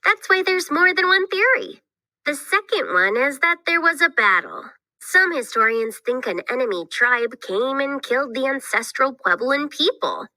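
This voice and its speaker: A woman plays an eager young girl explorer in a children's read-aloud. Her voice is high and very bright, with animated swings as she explains what she knows. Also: normal speed, no room sound, exaggerated voice, low-quality recording. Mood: animated, explaining.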